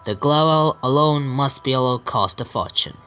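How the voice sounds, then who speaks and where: Stumbling gamer reading a visual novel. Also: male voice, mid-range voice, pushed and bright, a little hiss or hum. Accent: Indian English. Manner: halting, stumbling read with restarts. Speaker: gaming streamer.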